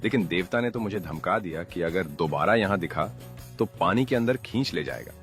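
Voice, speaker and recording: A very deep-voiced, greedy schemer played by a man in a cartoon moral story. He is mocking and sly, yet a coward at heart. Faint music sits underneath. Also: animated, moderate pace, fairly bright tone. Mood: sheepish. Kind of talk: animated moral story voice acting.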